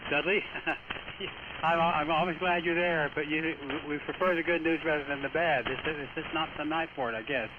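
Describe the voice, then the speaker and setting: A narrow shortwave radio signal with clear static carries a fairly high-voiced man. He runs an amateur radio net as host, chatting casually and in no hurry. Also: fairly even intonation, normal speed. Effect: single-sideband radio. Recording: noisy, narrow-band shortwave radio transmission.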